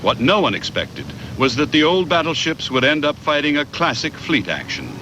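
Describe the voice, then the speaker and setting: A man reads scripted documentary narration in a measured, factual way. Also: on the high side, sing-song, noisy background.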